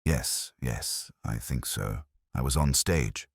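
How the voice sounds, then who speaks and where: A man's voice: very deep voice, slow pace, vocal fry, breathy edge, dry recording, studio quality, full character voice. A man voicing a frightened, fragile young actress in a mystery audiobook, hesitant and pleading. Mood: confused, fearful.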